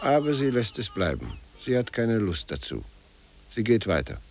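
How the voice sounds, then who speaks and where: A man narrating a 1950s radio play in short, measured, deliberate scripted sentences. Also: lowish voice, sing-song, faint music behind.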